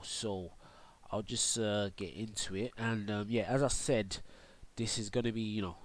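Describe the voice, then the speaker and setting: A young man with a cold, congested, casually walking through a game tutorial full of fillers and restarts. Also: deep voice. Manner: rambling, many fillers and restarts. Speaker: gaming YouTuber and streamer.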